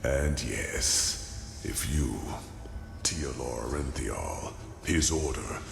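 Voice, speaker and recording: A man playing an ancient, weary sage in a fantasy game, speaking gravely and deliberately in a gravelly voice. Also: rumbling low, expressive, exaggerated voice. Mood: grave, resigned. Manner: grave, slow, deliberate, brooding. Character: ancient weary male sage revealing bleak cosmic truths. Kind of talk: solemn dramatic game monologue. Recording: echoey voice over clear background music.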